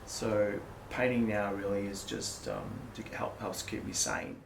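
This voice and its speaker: Gravelly man in an echoey room. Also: lowish voice, very animated. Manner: frank, reflective, halting with fillers. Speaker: interviewed artist. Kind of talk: documentary interview. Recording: echoey room with background noise.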